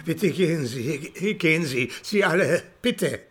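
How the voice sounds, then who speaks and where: An actor playing a guilt-ridden, evasive old folk musician in a radio drama, speaking haltingly. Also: male voice, fairly high pitch, lively intonation, slight background noise. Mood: pleading, agitated. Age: older. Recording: music behind the voice.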